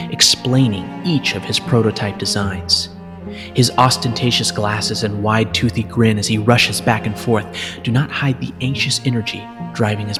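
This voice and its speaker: Male narrator, low register, solemn and measured dramatic story reading, music clearly underneath. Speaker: narrator reading fan fiction.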